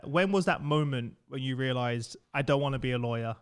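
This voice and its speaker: A man's voice: mid-range voice, brisk, clear, resonant tone. A man hosting a podcast interview, asking questions in a casual, easygoing way and laughing easily. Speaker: podcast host.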